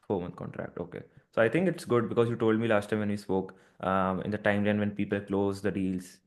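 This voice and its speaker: A man's voice: on the low side, a little fast. A man on a casual business call, sharing advice with a peer in an encouraging way, with frequent fillers.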